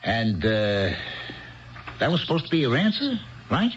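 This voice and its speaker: Casting: folksy, plain-spoken barkeep who prompts patiently, dull vintage radio play sound. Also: male voice, medium pitch, huge pitch swings, a little slow, slight background noise. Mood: patient, probing. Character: patient, plain-spoken, gently skeptical male bartender. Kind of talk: 1970s radio drama acting. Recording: dull lo-fi 1970s radio broadcast.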